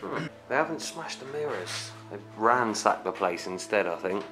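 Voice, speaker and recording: A man exploring an abandoned house, talking casually and excitedly. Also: lowish voice, normal speed, low-quality recording. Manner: excited, exclamatory. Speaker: urban explorer. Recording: music underneath. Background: background music.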